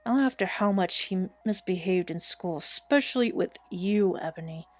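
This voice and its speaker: A woman's voice: mid-range voice, expressive, moderate pace, lightly acted. Woman doing a mean old wizard headmaster. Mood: contemptuous.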